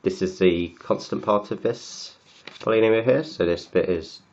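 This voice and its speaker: Croaky man talking through maths. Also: lowish voice, darkish tone, highs cut off. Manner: halting, repeats words while writing. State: has a cold, croaky and sniffly. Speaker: maths tutor. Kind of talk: working through textbook maths aloud.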